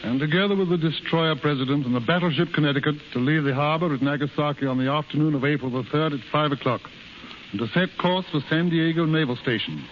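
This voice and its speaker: An actor playing a frank, avuncular, pragmatic American consul in 1930s radio drama style. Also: male voice, on the high side, fast talker, close to an everyday voice. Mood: official, reading. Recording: muffled, hissy 1930s radio broadcast recording.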